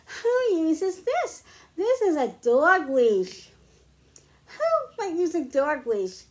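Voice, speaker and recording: A middle-aged woman reading picture books aloud to young children, explaining slowly and clearly at home. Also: very high voice, wildly swooping pitch. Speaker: children's librarian. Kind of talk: storytime read-aloud for kids.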